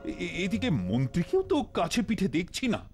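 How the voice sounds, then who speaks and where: A man's voice: sits high, wildly swooping pitch, brisk. An actor voicing an anxious, fretful king in a cartoon, who stammers as he worries. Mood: worried. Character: anxious fretful king, middle-aged man. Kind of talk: cartoon voice acting. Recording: studio dub with background music.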